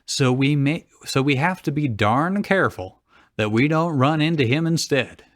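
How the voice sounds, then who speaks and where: A man's voice: a little high, animated, normal speed, dry recording. Dry, close-sounding man co-reading a story. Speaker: co-reader on a comedy show. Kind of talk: reading dialogue with joking interjections.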